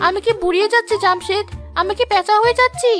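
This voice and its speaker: A very high, almost childlike voice for a vain cartoon village wife , acted out by a woman. She complains with whiny melodrama, fretting over herself. Faint music sits behind her. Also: fast, a bit bright. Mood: distressed, whining. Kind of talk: animated moral-story drama acting.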